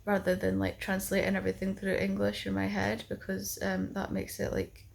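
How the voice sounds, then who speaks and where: Gravelly, husky young woman vlogging. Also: mid-range voice, monotone, normal speed. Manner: rambling, full of "like" and "um". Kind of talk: casual chat to camera.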